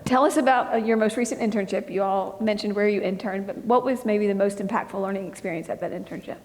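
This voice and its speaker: A woman's voice: mid-range voice, fast, a little mellow, a little hiss or hum. A woman moderating a student panel, prompting each speaker with encouraging questions. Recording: long hall reverb.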